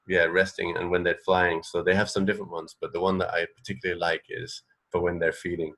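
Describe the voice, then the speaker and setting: A man with a deep, low voice chats as a radio co-host. His delivery is unscripted and easygoing, and he explains things casually as he goes. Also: flat delivery, quick pace, a bit bright.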